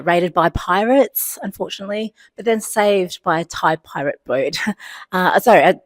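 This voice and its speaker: A woman's voice: low voice, dry recording. A woman in her forties, born in Vietnam, telling her personal story at a panel, laughing often and using many fillers. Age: 40s. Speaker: CEO of a community organisation.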